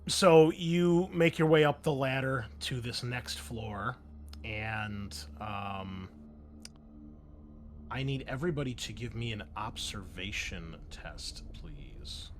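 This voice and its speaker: A man's voice: mid-range voice, slow, background noise. A man acting as game master, narrating and refereeing a tabletop roleplaying game in a conversational, explanatory way.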